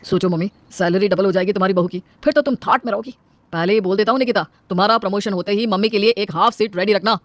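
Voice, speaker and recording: A woman's voice: mid-range voice, fast, no echo, natural voice. A woman voicing a teasing grown son in a family story.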